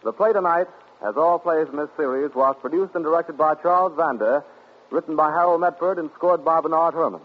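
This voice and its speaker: A man's voice: fairly high pitch, fairly quick, breathy edge. A man announcing in a polished, ceremonious 1940s broadcast style. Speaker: radio announcer. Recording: tinny 1940s radio broadcast.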